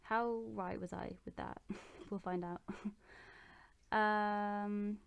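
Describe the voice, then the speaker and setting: A young woman in her bedroom, answering viewer questions in a relaxed, chatty way, with plenty of ums and restarts. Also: mid-range voice, very slow, vocal fry, clean tone. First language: English. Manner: chatty and relaxed, with many ums and self-corrections. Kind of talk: casual chat.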